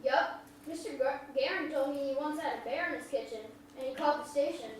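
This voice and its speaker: Woman as curious young country boy, piping high voice, plainspoken, earnest, stage drama, far from microphone. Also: a little fast, natural voice. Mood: eager. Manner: questioning, plain country speech. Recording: echoey hall, distant mic, faint noise.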